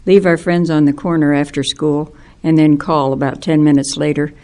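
An older woman from Illinois reminiscing in an interview, telling her stories in an unhurried way. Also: low voice, level tone, dark tone.

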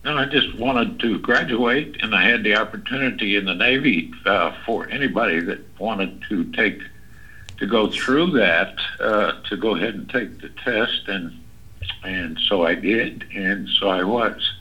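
An older man in his eighties with a bright, forceful edge to his fairly low voice. He speaks haltingly, full of fillers and restarts, in an oral history interview. The recording sounds a little dull and lo-fi. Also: breathy edge. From Kansas City, United States. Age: 80s. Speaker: interviewee, Navy veteran. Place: library interview room.

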